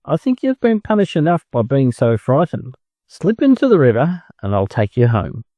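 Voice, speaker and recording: A man with an Australian accent voicing a gentle, forgiving mother duck in a storybook, mildly reproving. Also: mid-range voice, huge pitch swings, normal speed, no room sound, pitched above the performer's own voice.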